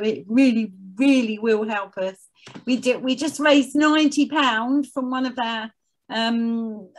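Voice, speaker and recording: A woman speaking as an interview guest, chatting casually in a hesitant, halting way. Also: on the high side, low-quality recording. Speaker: guest, head of a veterans' arts organisation.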